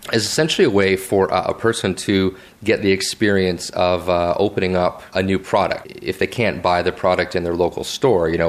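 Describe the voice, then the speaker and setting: A man with a very low, deep voice talks about his hobby in a loose, conversational way. He fills pauses with "uh" and "you know" and often restarts his sentences. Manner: relaxed, chatty, frequent fillers and restarts. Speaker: tech video maker interviewed as a guest. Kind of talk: casual interview answers. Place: home basement office.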